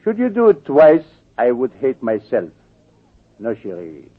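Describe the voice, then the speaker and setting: A man's voice: medium pitch, wildly swooping pitch, unhurried, narrow, tinny audio. A suave thief, silky and coldly menacing, swinging his voice up and down. The sound is a thin old radio broadcast. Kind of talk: 1940s radio acting.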